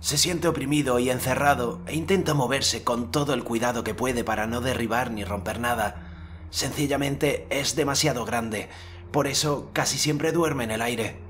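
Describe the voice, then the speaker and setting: A man voicing a calm, reserved young hunter in an audiobook reading. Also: medium pitch, slight background noise, pitched above the performer's own voice, lightly acted. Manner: soft, measured, restrained.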